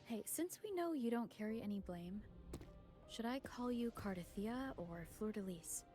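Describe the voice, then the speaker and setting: Actress as kind young woman adventurer, game cutscene: dark mellow tone, soft, reassuring, music beneath. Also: a little high, expressive, moderate pace, close to an everyday voice. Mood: gentle, easygoing. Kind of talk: video game voice acting. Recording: background music under dialogue.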